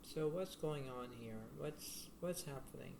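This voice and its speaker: A man giving a casual tarot reading to the viewer in a halting, repetitive, rambling way. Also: vocal fry. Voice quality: low-volume voice. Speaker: tarot card reader. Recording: noisy homemade recording with room ambience.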